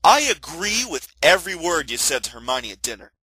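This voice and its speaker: Male actor as naive, excitable schoolboy for story drama: very sharp, high, swooping voice. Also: brisk, breathy edge, no echo, exaggerated voice, poor audio. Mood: eager, curious. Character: eager, naive teenage boy, hopeful and curious. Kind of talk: amateur dramatic reading of fan fiction.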